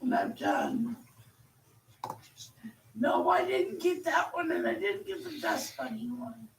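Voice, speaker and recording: A woman chatting casually in a drawn-out, rambling way, heard from away from the mic in an echoey space with faint noise. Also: very high-pitched, animated, creaky, distant mic. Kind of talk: casual chat.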